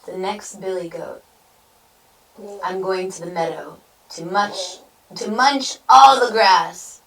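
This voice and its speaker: A woman's voice: mid-range voice, slow, fairly bright tone. Slightly far from the mic, a bold young billy goat played by a woman talks in a singsong bleat. He is a cheeky storybook animal. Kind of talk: children's storybook read-aloud.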